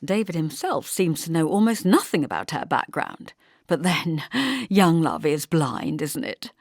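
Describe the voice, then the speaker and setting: A woman's voice: deep voice, sing-song, a little slow, gravelly, no room sound, studio quality, full character voice. A woman voicing a snobbish, probing middle-aged mother of the groom in an audiobook, with genteel disapproval. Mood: eagerly prying.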